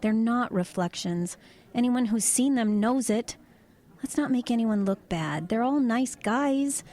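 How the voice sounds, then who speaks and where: A woman's voice: on the high side, lively intonation, measured pace, mellow. A woman playing a level-headed truck driver in an eerie audio drama, talking in an everyday conversational way. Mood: frank. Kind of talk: audio drama dialogue and narration.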